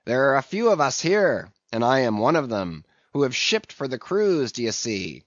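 A man voicing a defiant rough sailor. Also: mid-range voice, sing-song, moderate pace, no echo, natural voice. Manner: defiant, forceful. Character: defiant rough male sailor ringleader, bold. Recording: dull, dry lo-fi recording.

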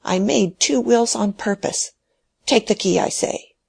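A woman's voice: mid-range voice, unhurried, creaky, darkish tone, slightly rough, no room sound, voice pushed up, exaggerated voice. A woman voicing a stubborn, agitated dying old man whose voice shakes as he pleads and commands, in a storybook reading.

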